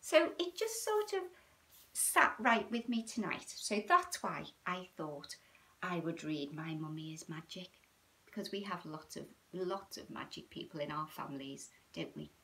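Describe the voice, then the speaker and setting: A British woman in her fifties talks to young children as a storytime host. Her pitch swoops up and down in a sing-song, animated way. She speaks chattily and often turns statements into little questions for her listeners. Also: measured pace. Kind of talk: chatty, child-directed livestream talk. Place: small room at home, indoors.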